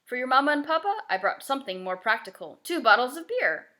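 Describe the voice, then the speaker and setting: A woman's voice: high-pitched, very animated, quick pace, sharp tone, voice pushed up, full character voice. A woman voicing a kindly, affectionate young male family friend in a children's novel, explaining things seriously and reassuringly. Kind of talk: children's novel read-aloud.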